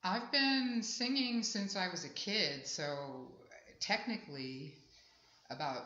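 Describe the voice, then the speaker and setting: A woman's voice: lowish voice, takes it slowly. A woman in her sixties, a singer-songwriter, talking in a chatty, reflective way in an interview at a festival. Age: 60s. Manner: chatty, warm and reflective, rambling. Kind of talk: casual interview at an outdoor festival. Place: festival grounds. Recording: echoey, dull live field recording.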